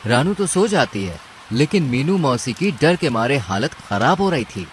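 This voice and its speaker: A man's voice: medium pitch, big pitch swings, moderate pace, slight background noise. A man narrating a scripted cartoon story as its storyteller.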